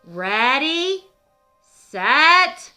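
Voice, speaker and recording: A woman voicing a brisk male farm field-day announcer in a children's storybook, calling out loudly. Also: sits high, very animated, faint music behind, voice pushed up, exaggerated voice. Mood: rousing. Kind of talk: children's storybook read-aloud.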